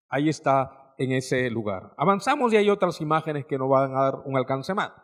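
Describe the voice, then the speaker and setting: Male preacher, Peruvian accent, slightly high register. Didactic, emphatic sermon delivery that puts questions to the congregation. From Peru, coastal fishing port. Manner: didactic, emphatic, addresses listeners as brothers, poses questions and answers them.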